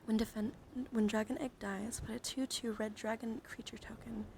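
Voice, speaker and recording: A young adult woman livestream host speaking in a soft, breathy ASMR style, often slipping into whispers, close to the microphone. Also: on the high side, flat delivery, normal speed, a little hiss or hum. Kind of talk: ASMR, soft-spoken casual live chat and card reading.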